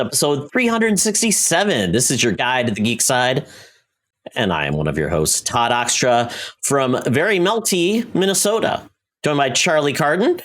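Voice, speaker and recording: Casting: American man, podcast co-host, animated delivery, playful banter with a prepared-intro feel. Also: medium pitch. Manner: jokey, playful. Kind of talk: casual scripted intro and banter.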